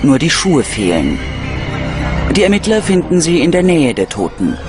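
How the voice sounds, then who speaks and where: A man's voice: on the high side, wildly swooping pitch, moderate pace. A man reading scripted narration for a true-crime documentary. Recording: music under the voice. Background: background music.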